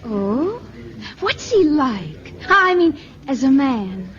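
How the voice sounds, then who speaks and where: A woman's voice: high voice, sing-song, very slow, fairly bright tone, heavily acted. An actress playing an eager, admiring, educated young woman in a 1950s radio drama, earnest and polite. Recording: dull 1955 radio broadcast, crowd behind.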